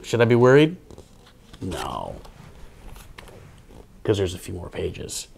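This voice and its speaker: A man hosting children's storytime, reading a picture book aloud with playful, theatrical delivery and casual asides. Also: fairly high pitch.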